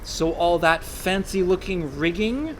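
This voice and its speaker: A man's voice: high-pitched, animated, measured pace, slight background noise. An actor in a comic radio play, playing a sardonic, fretful con man posing as a pirate merchant. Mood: exasperated. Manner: dry, exasperated, worrying.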